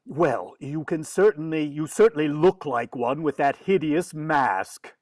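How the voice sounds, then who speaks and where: A woman acts as a long-suffering daddy in old-time radio comedy. The delivery is slow, with big swings in pitch and a close, dry sound. The tone is firm and wry. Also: expressive, a little mellow, dry recording. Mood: exasperated, coaxing. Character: exasperated, firm father of a mischievous girl. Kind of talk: 1940s radio comedy acting.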